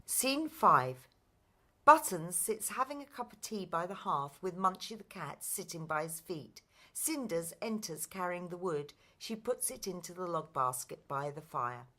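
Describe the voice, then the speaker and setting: A woman's voice: on the low side, expressive, a little slow, pushed and bright. A woman narrating a play script with its stage directions.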